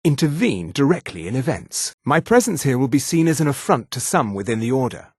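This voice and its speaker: A man voicing a calm, grave high elf monk in a fantasy video game, speaking in a formal, measured manner. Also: husky, no echo, studio quality. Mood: solemn, foreboding.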